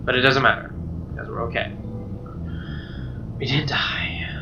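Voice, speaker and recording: A man reading a visual novel aloud as dramatic, expressive narration, with game music behind him. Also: medium pitch, a little slow, highs cut off, background noise. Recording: slightly distant mic under game music.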